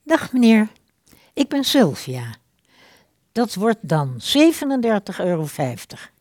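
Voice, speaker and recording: A woman's voice: mid-range voice, very animated, takes it slowly, darkish tone, no echo, studio quality. A woman playing a polite, singsong cashier at a hair salon in a radio play. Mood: courteous, businesslike.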